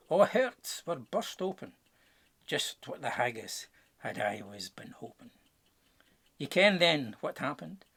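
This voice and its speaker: Slow, gravelly Scottish male storyteller. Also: on the high side. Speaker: storybook narrator. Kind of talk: dramatic rhyming verse storytelling.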